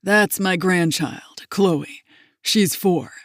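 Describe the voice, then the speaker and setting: A woman's voice: on the low side, very slow, no room sound, clean studio sound. A woman voicing a kindly, joking old grandfather who laughs easily. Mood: jovial. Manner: warm, laughing, gently teasing.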